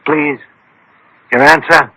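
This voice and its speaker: Radio mystery sleuth: brilliant, methodical, commanding; higher-pitched, very sharp forceful voice. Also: male voice, steady tone, slow pace, dry recording, heavily acted, poor audio. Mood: probing. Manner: precise, explanatory, commanding. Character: brilliant, methodical male scientist detective called the thinking machine. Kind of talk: radio mystery drama acting.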